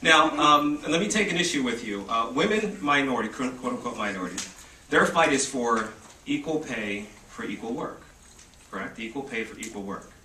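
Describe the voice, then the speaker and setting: Argumentative man with a bright voice. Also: medium pitch, animated, normal speed. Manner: questioning, argumentative. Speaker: panelist at a press conference. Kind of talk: addressing reporters. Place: press club conference room. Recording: echoey room, distant mic, faint noise.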